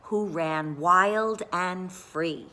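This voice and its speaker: A children's librarian, a woman, reading a picture book aloud to young listeners, playfully pausing before each animal sound and asking them questions. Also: fairly low pitch, pushed and bright.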